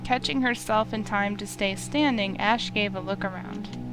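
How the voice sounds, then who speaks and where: A woman's voice: medium pitch, normal speed, low-quality recording. A woman narrating a visual novel, reading the story aloud in a dramatic scripted style. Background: music.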